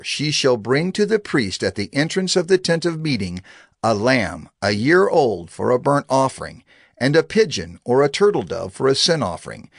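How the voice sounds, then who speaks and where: A man narrating scripture in a solemn, measured reading style, with phrases that rise and fall. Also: mid-range voice, vocal fry, no room sound, clean studio sound. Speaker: Bible narrator.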